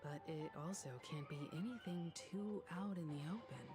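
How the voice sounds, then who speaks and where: Low-voiced, composed analytical woman played by an actress. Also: normal speed, natural voice. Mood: composed, wary. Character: composed, analytical woman weighing a schemer's motives. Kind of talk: video game story dialogue. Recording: game music playing under the voice.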